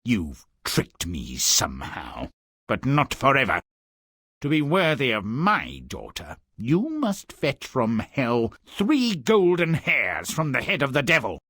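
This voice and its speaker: An actor voicing a haughty, scheming, cruel king in a fairy tale game, with pompous, menacing, drawn-out delivery. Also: medium pitch, wildly swooping pitch, sharp tone, breathy edge, no echo, studio quality, exaggerated voice.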